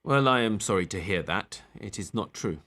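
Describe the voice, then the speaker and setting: A man acts out an authoritative captain testifying in a stage play about an inquiry. His voice is fairly low, and he speaks in a formal, deliberate way. He is firm and defensive of his own conduct. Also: lively intonation, sharp tone. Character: defensive, authoritative male official, a captain, giving evidence. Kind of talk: online table read of a courtroom play.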